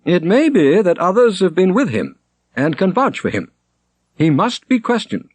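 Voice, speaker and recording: A man's voice: high-pitched, sing-song, moderate pace, slightly rough, no room sound, voice pushed up, full character voice. A man voicing a pompous, imperious monastery prior in an audiobook, declamatory and commanding. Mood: demanding, imperious. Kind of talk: audiobook character acting.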